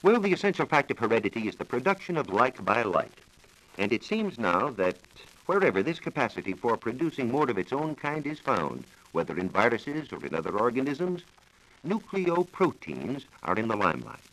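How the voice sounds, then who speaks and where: An American man giving a scripted science talk on 1940s radio, explaining in a measured, formal manner. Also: medium pitch, very animated, a bit bright, rough recording. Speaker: science reporter explaining research. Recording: old 1940s radio broadcast recording.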